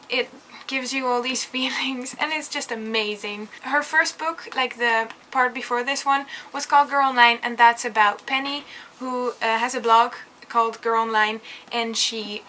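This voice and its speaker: A woman's voice: on the high side, sharp tone, a little hiss or hum. A young woman from the Netherlands with a Dutch accent, chatting enthusiastically in a casual book review vlog with lots of fillers. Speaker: vlogger.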